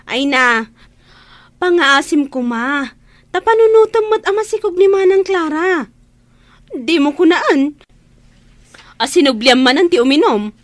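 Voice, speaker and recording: Indignant younger sister: very high pitch, close dry sound, outspoken, insistent scolding. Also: female voice, animated, a little slow, long pauses, no echo. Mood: scolding, exasperated. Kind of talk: Ilocano radio drama acting.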